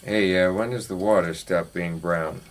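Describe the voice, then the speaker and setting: An actor playing a worldly, teasing older brother in a radio drama, dry and sarcastic. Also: male voice, sits low, fairly even intonation, normal speed, slight background noise, natural voice. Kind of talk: naturalistic radio drama acting.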